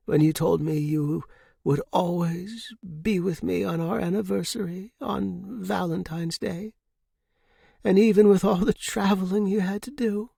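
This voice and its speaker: A man voicing a frail, genteel elderly widow in an audiobook, wistful and devoted, with tender, formal, drawn-out phrasing. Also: high voice, vocal fry, warm tone, slightly rough, no room sound, clean studio sound, voice pushed up, heavily acted. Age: older. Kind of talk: audiobook character reading.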